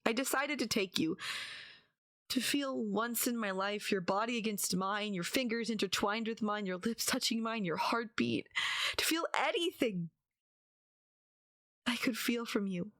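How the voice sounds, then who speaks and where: A woman playing a despairing, lovesick yandere kidnapper in an intimate audio roleplay, halting and pleading, often slipping into half-whispers. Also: a little high, a bit bright, no echo, clean studio sound. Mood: anguished. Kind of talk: intimate ASMR-style dramatic audio roleplay.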